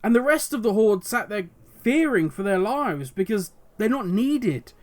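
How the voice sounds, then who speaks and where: Guy with a high voice getting hoarse, rambly and passionate solo commentary. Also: lively intonation, measured pace. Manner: passionate, rambling, musing aloud. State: voice going hoarse. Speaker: video host. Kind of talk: solo commentary on game lore.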